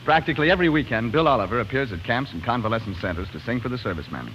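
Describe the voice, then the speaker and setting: Male 1940s announcer, animated and brisk, scripted banter, noisy lo-fi broadcast sound. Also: medium pitch. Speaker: radio announcer.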